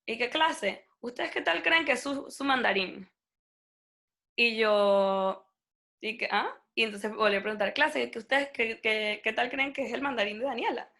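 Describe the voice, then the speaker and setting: A young woman, a native Spanish speaker, talking as an interview guest in a lively, casual way and acting out the people in her story. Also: a little high, measured pace, vocal fry.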